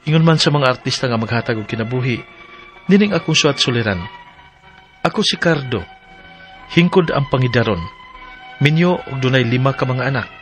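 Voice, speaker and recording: A man's voice: medium pitch, a bit bright, close to an everyday voice. An actor with a Filipino Cebuano accent playing a humble, hesitant farmer husband and father in a radio drama, music playing behind him. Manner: hesitant, pleading, plain-spoken. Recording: music under the voice.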